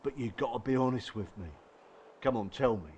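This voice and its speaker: Casting: male actor for plain-talking footballer, supportive and streetwise, mid-pitched, radio play. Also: fairly quick, a little mellow, slight background noise, natural voice. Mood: earnest, reassuring. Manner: blunt, colloquial, reassuring. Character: blunt, supportive working-class footballer, male abuse survivor. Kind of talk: audio drama acting.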